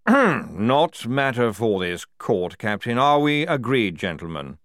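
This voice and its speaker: A man's voice: mid-range voice, big pitch swings, slow, a bit bright, no room sound, clean studio sound, voice pushed up. Man playing a curt, irritable army general. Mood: stern, irritated. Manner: curt, authoritative. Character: irritable, commanding senior male army general. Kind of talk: audiobook character dialogue.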